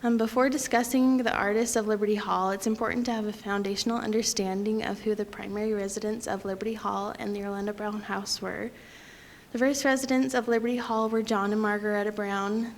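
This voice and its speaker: Young woman, soft and quiet delivery, frequent ums, lecture at a podium, faint rumble behind. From Utah. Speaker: guest lecturer. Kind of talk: prepared public lecture.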